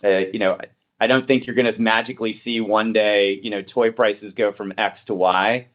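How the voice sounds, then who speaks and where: A man with a fairly low voice talks as a company executive fielding questions. His delivery is measured and full of business jargon. The sound is a little dull, as on a conference call. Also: steady tone. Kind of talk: answering analyst questions, semi-formal business talk. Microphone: conference call line. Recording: muffled conference call audio.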